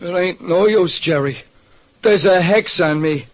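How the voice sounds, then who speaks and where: A man voicing a gloomy, excitable working-class American diner owner in 1940s radio style, plaintive and slangy. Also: high-pitched, measured pace, voice pushed up. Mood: gloomy, hurt. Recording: dull, noisy old radio recording.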